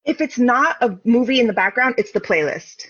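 A woman's voice: fairly high pitch, lively intonation, brisk, husky. A woman guest on a podcast, chatting casually and laughing often over a video call. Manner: giggly, playful, puts on silly voices. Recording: dull lo-fi call audio.

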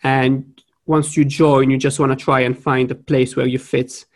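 A young man from Morocco, talking as a podcast guest in an unscripted way, pausing to search for words. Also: medium pitch, little pitch movement. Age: young adult. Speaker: podcast guest, trading graduate. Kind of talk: casual podcast interview.

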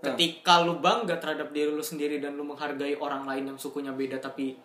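A young man co-hosts a relaxed conversation, explaining ideas through casual examples and slang. His voice sits a little high for a man. The room is echoey, with faint ambient noise behind him. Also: quick pace. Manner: explains with everyday examples, slangy, laughs often. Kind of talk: casual chat.

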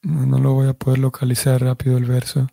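A man giving a religious class, explaining things in a measured way and often restarting his sentences as he searches for words. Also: mid-range voice, completely flat delivery, creaky, dark tone, no room sound, studio quality. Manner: explanatory, restarts and self-corrections. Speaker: devotional teacher.

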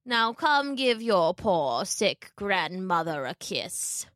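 Wolf in grandmother disguise, played by a woman: cunning, syrupy, slow, pitch lurching up and down, dry storybook sound. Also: big pitch swings, fairly bright tone, no room sound. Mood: feigned sweetness. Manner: slow, feigned sweetness, wavering pitch. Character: sly male wolf impersonating a sick old grandmother.

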